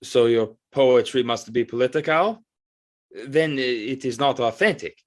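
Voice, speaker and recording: A man playing a flattering, deferential court artist who speaks in a formal, halting way in an audio drama. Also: medium pitch, close to an everyday voice. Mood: earnest. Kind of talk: theatrical audio drama acting.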